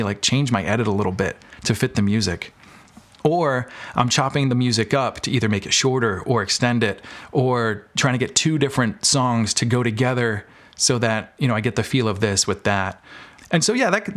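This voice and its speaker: A man's voice: mid-range voice, a bit bright. Man explaining his craft in casual conversation. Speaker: podcast guest, audio drama maker and filmmaker. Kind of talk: casual interview chat.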